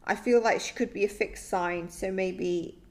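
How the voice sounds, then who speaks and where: A woman's voice: mid-range voice, steady tone, a little slow, darkish tone. A woman giving a psychic tarot reading, thinking aloud in a tentative, musing way that often trails off.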